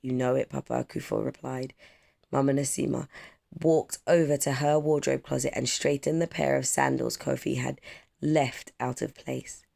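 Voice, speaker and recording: A storyteller reading a children's picture book aloud in measured, expressive phrases. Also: medium pitch, vocal fry. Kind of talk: children's storybook read-aloud, scripted narration.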